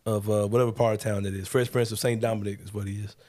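A man's voice: lowish voice, little pitch movement, fast, a little mellow. A man in his forties hosts a casual sports talk show. He talks in a laid-back way, full of slang and teasing. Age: 40s. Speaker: podcast host.

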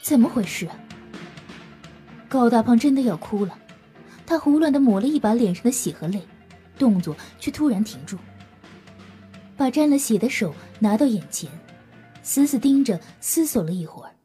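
A woman's voice: a little high, lively intonation, moderate pace, long pauses, mellow, soft background music. A woman narrating a scripted audio drama as a storyteller, in a dramatic style.

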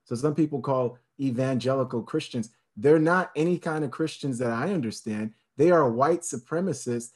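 Casting: man, middle pitch, fervent and emphatic, stacks points into long lists, uses fillers and restarts. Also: normal speed, hardly any pauses, darkish tone, slightly rough. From United States. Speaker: speaker on history. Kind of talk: impassioned talk on history.

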